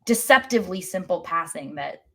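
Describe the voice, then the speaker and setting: A woman's voice: on the low side, animated, normal speed, creaky, bright, forceful tone, slight background noise. A woman co-hosting a sports podcast, describing soccer play casually and sometimes searching for her words.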